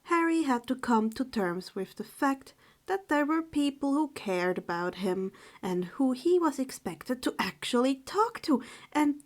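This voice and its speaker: A woman speaking in a hushed, subdued voice, halting and correcting herself as she chats and reads stories aloud. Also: a little high. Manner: halting, stumbling over words and correcting herself. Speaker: storyteller reading fan fiction aloud for a channel. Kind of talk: informal chat, then read-aloud narration.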